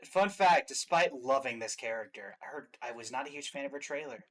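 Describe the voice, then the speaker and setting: A man's voice: medium pitch, animated, a little fast, a bit bright. A man hosting a reaction video in a casual, chatty style.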